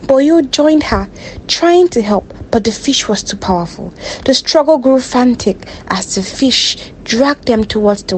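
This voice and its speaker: A woman storyteller reading a scripted folktale in a dramatic, measured way. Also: a little high, darkish tone, slight background noise, low-quality recording.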